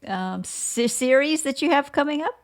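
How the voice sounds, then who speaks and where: A woman hosting a casual podcast interview, choosing her words in a halting, careful way. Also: high-pitched, expressive.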